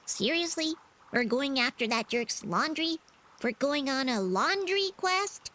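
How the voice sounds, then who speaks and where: Bubbly sarcastic tiny game critter, high voice swooping all over. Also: female voice, animated, unhurried, sharp tone, exaggerated voice. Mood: indignant. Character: small sassy flying fox-bat sidekick, mischievous girl. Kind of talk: cartoonish video game voice acting. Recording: game ambience behind the voice.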